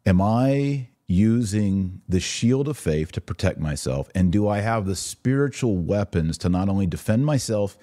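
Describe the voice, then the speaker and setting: Male podcast host, middle-aged, bass-leaning and mellow, emphatic religious commentator speaking directly to viewers. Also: expressive, measured pace, few pauses. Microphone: webcam setup.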